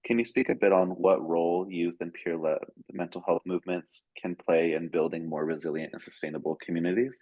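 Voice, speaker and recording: A very deep-voiced man moderates an online panel in a friendly, organized way. He often fills pauses with "um." The call audio sounds dull and dry. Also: steady tone, dry recording.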